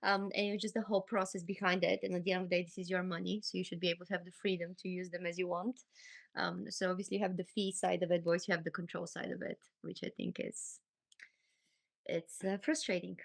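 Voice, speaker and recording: A woman's voice: fairly low pitch, little pitch movement, fast talker, creaky. A woman from Bulgaria, a dance teacher, speaking frankly as a podcast guest. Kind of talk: casual podcast conversation.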